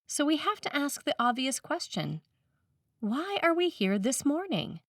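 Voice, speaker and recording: A woman's voice: mid-range voice, fairly bright tone, no echo, far from the mic. Woman reading a sermon, dry sound. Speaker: priest reading a written sermon.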